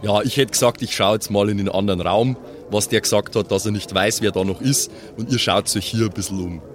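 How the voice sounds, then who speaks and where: A man's voice: on the low side, a little fast. A man playing a tabletop role-playing game, chatting casually as he improvises.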